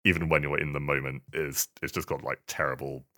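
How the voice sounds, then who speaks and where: A man's voice: rumbling low, level tone, fairly quick, pushed and bright, dry recording, clean studio sound. A man talking casually on a podcast, sometimes reading a statement aloud. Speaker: podcast panellist.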